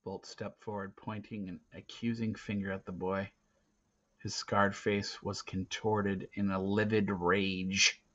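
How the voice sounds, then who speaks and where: A man reading a story aloud as narrator, with a dramatic, expressive delivery. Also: lowish voice, slow. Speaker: reader of a children's novel. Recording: dull, lo-fi recording.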